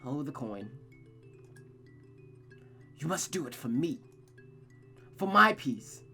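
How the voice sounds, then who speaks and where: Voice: medium pitch, big pitch swings, bright, forceful tone, heavily acted. A performer voicing a possessive, controlling mother spirit in a visual novel, commanding and dramatic, with music behind. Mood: demanding. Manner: commanding, dramatic, drawn-out outbursts.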